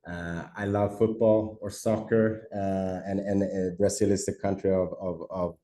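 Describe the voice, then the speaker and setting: Swedish-born guest, middle-aged, deep and mellow voice, lots of restarts, talking over a video call with roomy echo. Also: male voice, level tone. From Sweden. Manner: halting, many repeated words and fillers. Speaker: startup CEO, podcast guest. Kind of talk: casual interview answers. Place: office.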